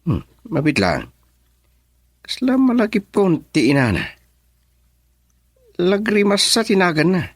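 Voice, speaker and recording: An actor playing a lively, teasing, friendly young man in a radio drama, with an Ilocano accent. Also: high-pitched, unhurried, pauses often, dry recording, studio quality, exaggerated voice. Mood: chatty, teasing.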